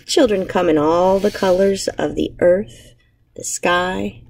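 A woman in her thirties, an elementary teacher reading a picture book aloud to children with measured, expressive storybook phrasing. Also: fairly low pitch, creaky, noisy background. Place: indoors, empty school classroom.

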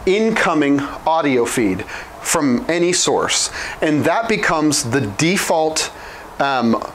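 Guy in his 30s walking through licenses at a whiteboard, a bit high voice, lapel mic, faint hiss. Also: low-quality recording. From Oklahoma. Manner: quick, informal, restarts and self-corrections. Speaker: product trainer. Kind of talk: whiteboard explainer. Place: small indoor room at a whiteboard.

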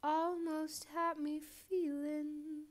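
A woman voicing a lonely, wistful, apologetic balloon in a poetic monologue, with halting, deliberate phrasing and long pauses. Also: high voice, level tone. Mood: wistful, musing. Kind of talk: slow poetic performance monologue.

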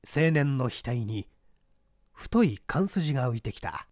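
A man narrating a mystery story in a deliberate, dramatic reading style. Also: mid-range voice, very animated, darkish tone, dry recording, clean studio sound.

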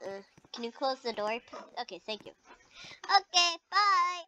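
A high voice plays through a video game roleplay in a casual, excitable way, making it up along the way. The sound is dull and lo-fi, with noise behind it. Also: a little slow. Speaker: gamer narrating roleplay. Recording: dull lo-fi mic with clear background noise.

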